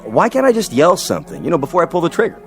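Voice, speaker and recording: An actor playing an earnest, principled supporting cowboy player in a radio drama. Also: male voice, a little high, wildly swooping pitch, rapid-fire. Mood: earnest protest. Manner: earnest, conversational. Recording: faint music behind the voice.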